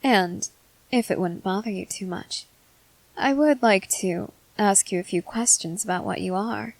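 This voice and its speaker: A woman playing a composed, inquisitive young female soldier in an ASMR roleplay, speaking formally in measured, deliberate phrases. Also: mid-range voice, no room sound, rough recording. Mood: calm, intrigued. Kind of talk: scripted ASMR roleplay, acted to the listener.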